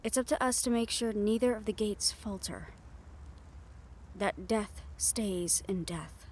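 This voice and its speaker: A woman playing a gentle, wistful half-siren, half-banshee who confides softly in an intimate roleplay audio, with reflective pauses. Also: medium pitch, slight background noise, close to an everyday voice. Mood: solemn, reflective.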